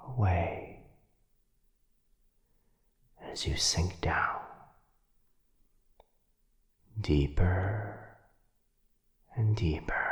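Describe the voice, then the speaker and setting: A man voicing a gentle, protective vampire in an ASMR roleplay, soft, breathy and husky, soothing in a hushed, halting way. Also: low voice, heavily acted. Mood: hypnotic, soothing. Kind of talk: boyfriend ASMR roleplay audio drama.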